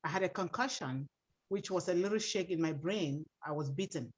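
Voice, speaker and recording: A woman's voice: lowish voice, brisk, slightly rough. A woman from Cameroon who calls in on a video call and earnestly tells a story.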